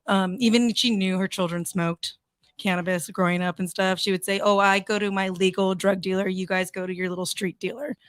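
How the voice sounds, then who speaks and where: A woman's voice: medium pitch, fairly even intonation, brisk, no echo. A woman guest in a casual interview, chatting with plenty of fillers and frequent laughs. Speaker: guest, cannabis cosmetics business.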